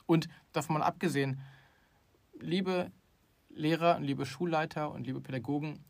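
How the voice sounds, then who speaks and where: Emphatic middle-aged German man, slightly high voice. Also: fairly even intonation, moderate pace, creaky. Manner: opinionated, emphatic, with many restarts. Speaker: podcast co-host. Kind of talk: casual chat.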